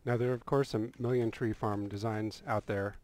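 A man's voice: fairly low pitch, moderate pace. A man casually talking through a game build in a voice-over, rambling a little with restarts and fillers. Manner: relaxed, rambling explanation with frequent restarts and "uh". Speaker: game tutorial creator.

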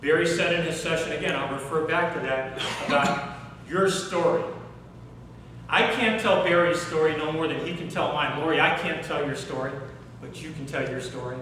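A middle-aged man giving a workshop talk on public speaking, animated and asking the audience questions in a hotel conference room. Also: fairly high pitch, moderate pace, a little hiss or hum. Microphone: far camera, no mic seen. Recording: echoey room recorded from far off.

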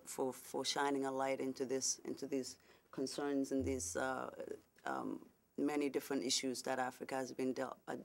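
A woman's voice: a bass voice, gravelly. A woman from Somalia, a television host, giving a gracious, hesitant public speech with filler words. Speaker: guest speaker, television host.

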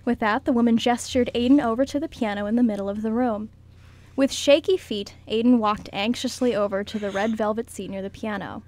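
A woman's voice: a little high, steady tone, fairly quick, darkish tone. A woman reading scripted narration for a radio play as its narrator.

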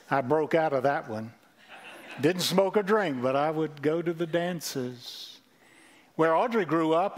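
A man in his sixties, a senior pastor, preaching an earnest sermon on a church stage into a headset mic, often repeating phrases. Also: fairly high pitch. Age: 60s.

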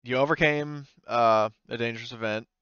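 A man's voice: medium pitch, fairly even intonation, moderate pace, sharp tone, smooth, dry recording, muffled recording. Male game master, clean resonant voice, casual delivery at the table, close and dry.

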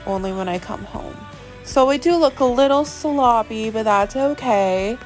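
A woman's voice: fairly high pitch, a little slow, darkish tone, soft background music, poor audio. A young woman in casual vlog talk, chatty and self-deprecating, narrating her riding over voiceover. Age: young adult. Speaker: equestrian vlogger. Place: indoor riding arena and barn, some outdoors.

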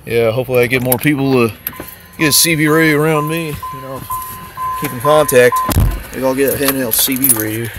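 A man's voice: medium pitch, brisk, music in the background. A man giving a casual, off-the-cuff show-and-tell, hesitant and thinking aloud, recorded on a handheld camera in and around a parked car. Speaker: vlogger. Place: in a parked car and just outside it.

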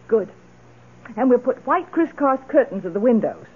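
An actress playing a self-assured, decisive, well-off middle-aged widow in a radio drama. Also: female voice, on the high side, sing-song, normal speed, breathy edge. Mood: brisk, eager. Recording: muffled old radio broadcast recording.

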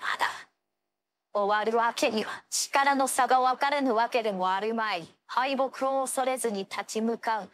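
A proud young ice-wielding swordswoman from an anime fighting game, acted by a woman. Her voice sits high for a female. She phrases everything in an old-fashioned, imperious way, stern and commanding. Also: moderate pace, fairly bright tone, close to an everyday voice. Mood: strained, determined, then stern, resolute. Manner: archaic, haughty, commanding phrasing.

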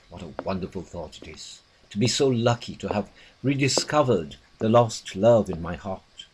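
A man's voice: lowish voice, a little hiss or hum, natural voice, rough recording. A man playing a lovestruck young widowed noblewoman in a theatrical, declamatory Shakespearean soliloquy, yearning and poetic. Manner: declamatory, archaic, romantic delivery.

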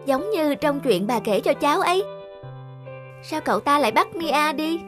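A woman's voice: high-pitched, lively intonation, normal speed, music in the background. A woman playing an earnest, spirited young village child in a children's cartoon. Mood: worried.

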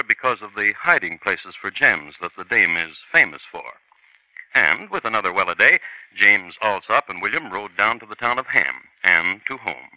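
A man's voice: on the low side, sharp tone, dry recording, poor audio. A man narrating a 1950s radio crime drama in a dry, ironic storytelling manner. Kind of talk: wry scripted radio narration. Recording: vintage radio broadcast with music.

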